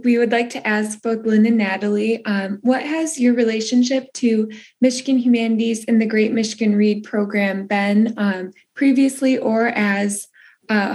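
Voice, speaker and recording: A woman's voice: on the high side, a little mellow. A woman co-hosting a podcast and interviewing guests conversationally. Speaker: podcast co-host, communications coordinator.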